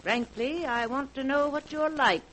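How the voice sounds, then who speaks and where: An actress in a 1940s radio drama playing a shrewd, wealthy elderly aunt who speaks in a measured, dry, searching way. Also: female voice, high-pitched, a bit bright, slight background noise, poor audio. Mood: probing. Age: older.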